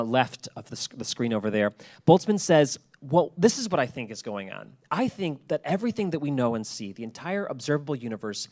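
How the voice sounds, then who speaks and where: A man giving an informal public lecture in a chatty, colloquial storytelling way with asides. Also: mid-range voice. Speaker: presenter at a public talk.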